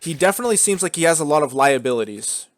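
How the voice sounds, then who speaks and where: A young man casting a strategy game match in a relaxed, chatty way, with frequent fillers and restarts. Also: on the high side, fast. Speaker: esports caster. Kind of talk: casual play-by-play commentary.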